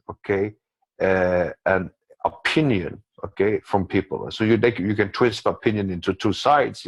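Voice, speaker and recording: Male commentator, non-native English speaker, lowish pitch, dry close sound, circling and repetitive delivery. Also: no echo, poor audio. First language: not English. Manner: rambling, repetitive, with frequent check-in tags. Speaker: guest commentator. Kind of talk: unscripted political commentary.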